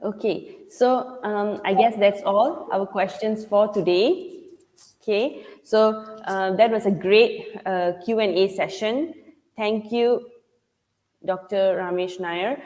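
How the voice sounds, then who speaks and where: A woman moderating a conference Q&A session in a brisk, agreeable way. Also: mid-range voice, a little mellow. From Malaysia. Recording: long reverb.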